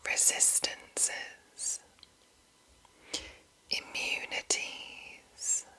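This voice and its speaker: A woman whispering in a breathy, unvoiced ASMR style, reading deliberately with pauses between short phrases. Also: sharp tone. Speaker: ASMR host. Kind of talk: whispered ASMR reading of fantasy game rules.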